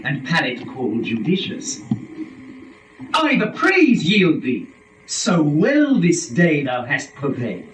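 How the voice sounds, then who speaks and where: A man performs an impassioned first man in a dramatic reading of epic verse. He declaims at a very slow, deliberate pace with sweeping emphasis. The recording is roomy and distant, with the microphone well away from him. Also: fairly high pitch, slight background noise. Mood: rapturous.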